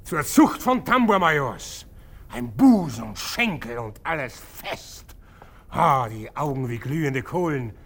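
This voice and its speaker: A man's voice: medium pitch, very animated, a little slow, fairly bright tone. A man playing a swaggering, lecherous drum major in a radio drama, boasting emphatically. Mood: boastful, lustful.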